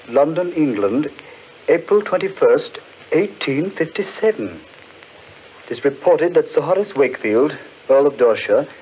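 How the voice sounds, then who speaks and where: An actor playing a sceptical gentleman in a 1940s radio horror drama, measured and declamatory. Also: mid-range voice, close to an everyday voice. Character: brisk, sceptical gentleman, dismissive of superstition. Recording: muffled, noisy 1940s radio recording.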